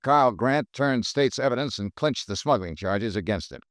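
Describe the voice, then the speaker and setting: A man's voice: medium pitch, expressive, normal speed, no room sound, lightly acted. An actor playing a cool, dry male insurance investigator in a 1950s radio drama, asking terse, clipped questions. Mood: wry.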